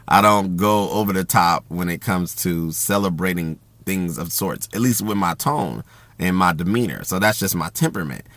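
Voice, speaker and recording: A man talking casually about communication in an even, self-described monotone, with a loud voice that carries and plenty of fillers and restarts. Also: deep voice, moderate pace, dry recording. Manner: rambling with frequent fillers and restarts. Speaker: host.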